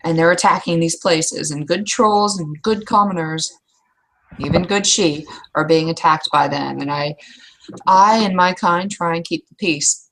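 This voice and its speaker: A woman's voice: fairly low pitch, measured pace, fairly bright tone, rough recording. A woman playing a blunt veteran female troll knight in a tabletop roleplaying game, talking in a frank, folksy, matter-of-fact way.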